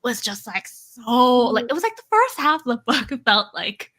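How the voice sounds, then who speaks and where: A woman's voice: fairly high pitch, sing-song, moderate pace, bright, forceful tone, breathy edge. A woman talking casually as a panelist in a group book discussion.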